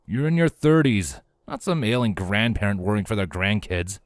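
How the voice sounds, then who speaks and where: A man voicing a gruff, deadpan stallion captain with a dryly mocking manner in a storybook reading. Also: lowish voice, moderate pace, no room sound, close to an everyday voice. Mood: dry teasing.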